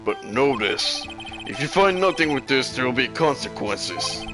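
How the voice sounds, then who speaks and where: A man voicing a stern old male judge from a video game, formal, solemn and deliberate. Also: mid-range voice, lively intonation, music in the background, voice pushed down, lightly acted. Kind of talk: video game character voice-over.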